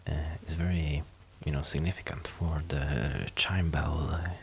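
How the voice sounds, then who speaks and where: A man chatting casually and intimately in an ASMR roleplay, soft and hesitant, self-deprecating, often dropping close to a whisper. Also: extremely low pitch, fairly even intonation, measured pace, slight background noise. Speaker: ASMR performer and amateur musician friend.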